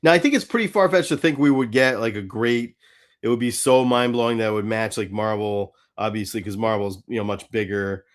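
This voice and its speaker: A man co-hosting a podcast in casual fan chat, halting and interrupting himself, with some mild swearing. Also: lowish voice. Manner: halting, self-interrupting, mildly profane. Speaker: podcast co-host.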